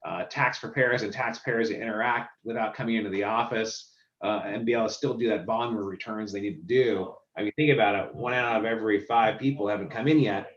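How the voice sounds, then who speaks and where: A man who runs a software company, explaining filing statistics in a casual video call chat. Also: mid-range voice, roomy sound, highs cut off. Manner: explanatory, walks through statistics.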